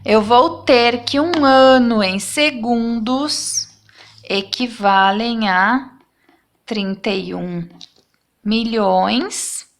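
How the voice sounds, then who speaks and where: A woman's voice: a little high, fairly bright tone. A woman, explaining math problems step by step as a teacher and checking in with her students after each step. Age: 30s.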